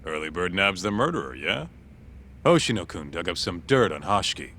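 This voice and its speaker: A man's voice: sits low, very animated, a little fast, sharp tone, a little hiss or hum, full character voice. A male actor playing a brash, easygoing tough-guy sidekick in a video game cutscene, blunt and slangy. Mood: easygoing, jokey. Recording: music behind the voice. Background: background music.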